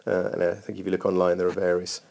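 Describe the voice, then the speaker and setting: A man's voice: low-pitched, completely flat delivery, fast talker, creaky, darkish tone. A man giving informal running technique feedback over a training video as a coach, thinking aloud in a hesitant way.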